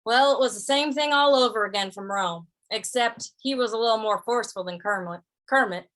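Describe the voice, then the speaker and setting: Woman playing a folksy, hesitant cowboy, higher voice. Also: fairly bright tone, close to an everyday voice, rough recording. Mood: weary. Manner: folksy, hesitant, stammering drawn-out replies. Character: laconic, easygoing male cowboy drifter, reluctant peacemaker. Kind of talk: old-time western radio drama acting and narration.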